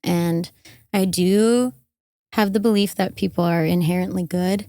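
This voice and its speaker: A young adult woman, slightly hoarse from karaoke, chatting casually as a podcast guest with many fillers and trailing phrases. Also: lowish voice, steady tone, measured pace, mellow, no echo, studio quality. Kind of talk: casual interview chat. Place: van-life festival in the desert.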